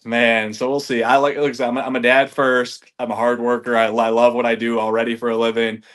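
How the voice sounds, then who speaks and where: Energetic, loose-talking guy in his thirties. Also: mid-range voice, fairly quick. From Michigan, US. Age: 30s. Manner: energetic, loose, swears casually, says "man" and "like" a lot. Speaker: podcast guest, golf reviewer. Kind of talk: casual chat.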